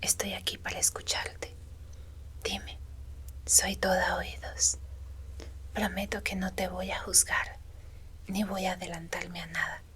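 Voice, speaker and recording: A woman voicing a gentle, comforting female woodland being in a breathy, soothing ASMR roleplay whisper. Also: fairly low pitch, little pitch movement. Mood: gentle, attentive. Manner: slow, tender, soothing, reassuring.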